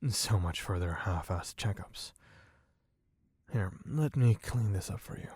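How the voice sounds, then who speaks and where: Man voicing a slow, husky, seductive vampire. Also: darkish tone, dry recording, heavily acted. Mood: smug, mock-tender. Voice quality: low, husky, breathy. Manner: slow, teasing, theatrically genteel, purring endearments. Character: suave, possessive, bloodthirsty male vampire toying with prey. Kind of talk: ASMR roleplay.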